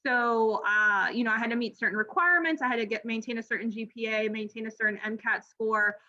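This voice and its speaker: A woman's voice: on the high side, a little fast, pushed and bright. A woman in her thirties from Miami, a doctor in training, giving a friendly informal presentation with frequent ums and restarts. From Miami, Florida, United States. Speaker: pediatric gastroenterology trainee presenting. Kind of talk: informal presentation over slides. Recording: slightly muffled lo-fi audio.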